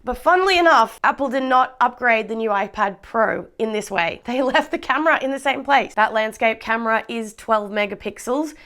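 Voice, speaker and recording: Hoarse Australian woman reviewing tech. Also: a little high, moderate pace, hardly any pauses, fairly bright tone. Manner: chatty, enthusiastic, laughs often. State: slightly hoarse from a cold. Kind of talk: casual product review vlog.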